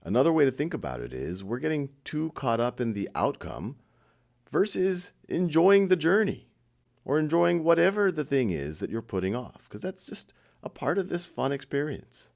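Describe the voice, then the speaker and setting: A man leading a guided meditation in an informal, conversational style, with a gentle, encouraging manner and light self-deprecating humour. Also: mid-range voice, very animated, normal speed, creaky.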